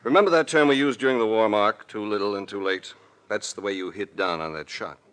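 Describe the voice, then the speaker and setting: An actor playing a composed, dryly witty adventurer-detective, narrating and talking in 1940s radio drama style. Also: male voice, fairly low pitch, fairly quick, fairly bright tone, clear, resonant tone, natural voice. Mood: teasing. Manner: dry, composed, articulate. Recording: vintage radio recording with faint noise.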